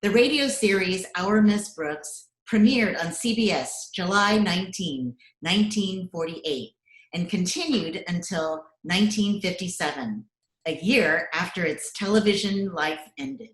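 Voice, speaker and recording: A woman announcing in a scripted old-time radio style, measured and deliberate, recorded over a video call. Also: fairly low pitch. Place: recorded remotely at home. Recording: echoey room on video call.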